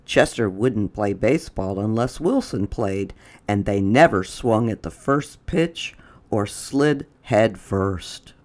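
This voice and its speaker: A storyteller reads a children's picture book at an unhurried pace. The delivery is expressive and deliberate, with the pitch moving widely from phrase to phrase.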